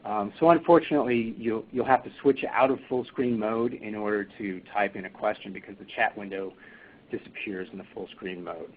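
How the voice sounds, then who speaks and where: A man moderating a webinar, speaking haltingly with many fillers and restarts. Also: on the low side, fairly even intonation, a little hiss or hum. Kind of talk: webinar presentation. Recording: tinny phone line.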